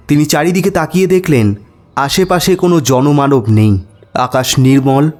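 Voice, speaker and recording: Expressive male storyteller, lively pitch. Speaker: host and story narrator. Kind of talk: dramatic audio story narration. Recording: background music under voice.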